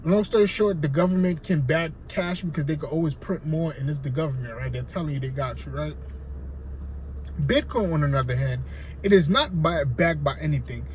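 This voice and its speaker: A man's voice: a little high, fairly quick, darkish tone, a little hiss or hum, poor audio. A man with a Haitian accent talking casually to his viewers, rambling and checking in with "right?" again and again. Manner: loose, rambling, frequent "right?" check-ins. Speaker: finance vlogger. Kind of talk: casual talk to camera.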